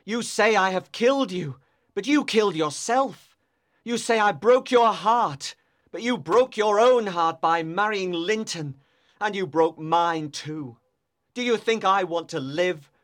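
A woman narrator plays a fierce, tormented man in love in a storybook. The delivery is slow and drawn out, anguished and full of drama. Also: medium pitch, expressive, lightly acted. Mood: tormented, accusing.

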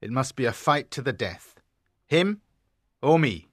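Man acting a fiery knight, sharp bright voice. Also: mid-range voice, very animated, a little slow, slightly rough, no echo, studio quality, heavily acted. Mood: vengeful, furious. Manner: emphatic, declamatory delivery. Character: hot-headed, vengeful male knight, fiercely proud. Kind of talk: dramatised storybook acting.

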